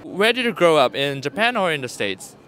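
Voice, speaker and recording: Bright-voiced man asking street questions. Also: fairly high pitch, sing-song, a little fast, slight background noise. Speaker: interviewer. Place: outdoors on a busy city street at night.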